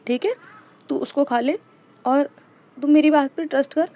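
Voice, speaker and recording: A woman voicing a caring best friend who reassures and urges her friend to stay calm. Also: high voice, normal speed. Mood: reassuring. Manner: warm and urgent, reassuring.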